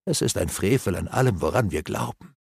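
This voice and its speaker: A man's voice: low-pitched, animated, brisk, vocal fry, husky, no room sound, clean studio sound, voice pushed down, full character voice. A man voicing a sorrowful young female elf sorceress who whispers through sobs. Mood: anguished whisper. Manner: whispering, sobbing.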